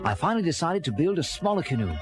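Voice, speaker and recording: A man's voice: a little high, big pitch swings, fast talker. An actor playing an earnest castaway sea captain, telling his story in theatrical first-person storybook narration. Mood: reflective. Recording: music bed under early-1980s children's record. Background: dramatic background music.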